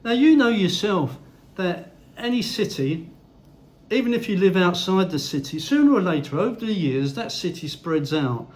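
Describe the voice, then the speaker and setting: A man's voice: sits high, lively intonation, normal speed. Blunt, swearing older man. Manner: blunt, heavy swearing, ranting. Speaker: vlogger. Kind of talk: opinionated casual monologue.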